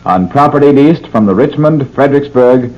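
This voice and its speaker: Formal American announcer on dull old radio. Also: male voice, mid-range voice, expressive, moderate pace. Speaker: station identification announcer.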